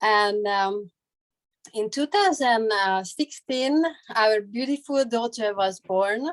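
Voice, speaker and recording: Hungarian woman telling her story, slow. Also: a little high. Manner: laughs often, halting with fillers. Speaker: translator. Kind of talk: personal story on a group call. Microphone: video call.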